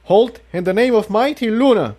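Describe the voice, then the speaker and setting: A man's voice: high-pitched, moderate pace, warm tone, voice pushed up, close to an everyday voice. A man voicing a nervous male pony guard in a storybook, with a stilted, stumbling, formal delivery as if reciting.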